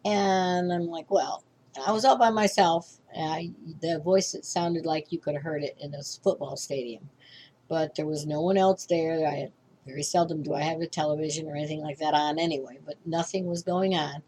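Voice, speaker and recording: A woman's voice: sits low, fairly even intonation, moderate pace, a little mellow, a little hiss or hum, poor audio. An older woman, a reverend, giving an informal spiritual class talk in a rambling way, often restarting and checking in with "okay?".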